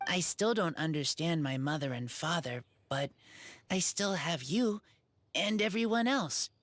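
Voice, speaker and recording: A woman playing an earnest, loyal feral boy in a video game, speaking in halting, simple sentences. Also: sits low, animated, a little hiss or hum. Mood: thoughtful, sincere.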